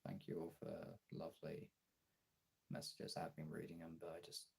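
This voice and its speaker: A man chatting candidly on a livestream in a halting, husky, gravelly voice, swearing casually, recorded on a phone. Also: extremely low pitch, completely flat delivery, darkish tone. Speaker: live streamer and voice actor. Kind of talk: casual confessional livestream chat.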